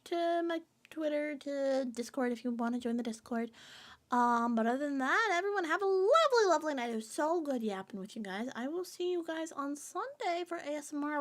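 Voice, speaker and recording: Playful high-pitched woman streamer. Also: a bit bright. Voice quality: playful, sing-song humming and giggles. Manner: rambling and jokey, reading chat aloud. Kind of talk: casual chat with stream viewers.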